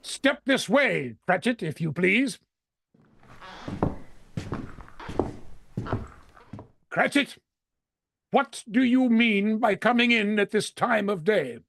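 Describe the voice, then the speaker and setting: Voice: mid-range voice, expressive, a little slow, gravelly, a bit bright, no room sound, clean studio sound, heavily acted. An actor playing an elderly reformed miser in a vintage radio drama, stammering and exclaiming with great feeling. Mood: mock stern. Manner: stammering, breathless exclamations with frequent chuckles.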